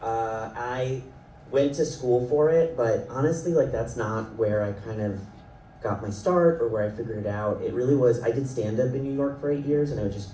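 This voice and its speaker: A man's voice: mid-range voice, moderate pace, dark tone. Rambling man on a festival panel, roomy sound. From South Florida, Ecuadorian family. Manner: rambling, self-deprecating, laughs often. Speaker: panelist, animation writer and creator. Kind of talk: panel discussion. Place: event panel hall. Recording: roomy sound from a distant mic, faint noise.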